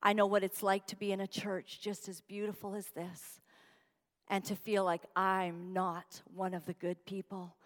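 A woman's voice: mid-range voice, level tone, normal speed, big-room sound. A middle-aged woman, raised in Uganda, telling her life story as a guest speaker in a church, with humorous chatty asides. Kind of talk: personal testimony sermon. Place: large church.

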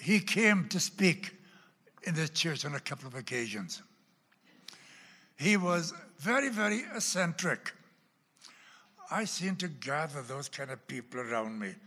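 A man with a Welsh accent tinged with Texan and Oklahoma, teaching a church class in a dramatic sermon style, booming on key words and dropping to hushed asides. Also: very animated, unhurried, pauses often, husky. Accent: Welsh with Texan and Oklahoma traces. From Wales. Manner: dramatic, wide swings from loud emphasis to hushed asides. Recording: long hall reverb.

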